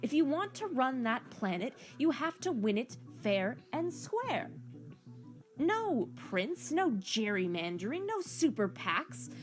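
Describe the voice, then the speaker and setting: A woman's voice: sits high, very animated, slow pace, faint music behind, full character voice. A woman voicing a flirtatious, wisecracking cat-like woman in an amateur comic drama, with a teasing, theatrical manner. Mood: teasing, insistent.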